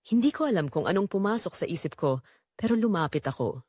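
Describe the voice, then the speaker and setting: Woman narrating with lively, swooping pitch. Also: fairly quick, slightly rough, no echo, clean studio sound. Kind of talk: scripted first-person dramatic story narration.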